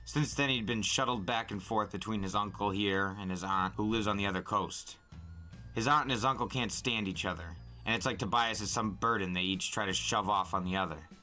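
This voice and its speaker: A man's voice: on the low side, brisk, bright, forceful tone. A man reading a novel aloud in the first person as a storyteller.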